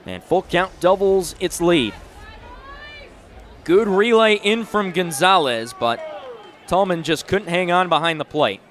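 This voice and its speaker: A man's voice: sits high, a little slow, pauses often, fairly bright tone, a faint crowd. A man in his twenties doing live play-by-play sports commentary in short, punchy announcer phrases. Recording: noisy live venue sound.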